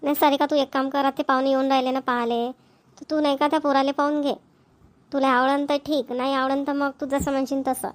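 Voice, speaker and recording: A woman's voice: high-pitched, level tone, brisk, lightly acted. A woman voicing a caring, persuasive middle-aged village mother, with a coaxing, motherly manner.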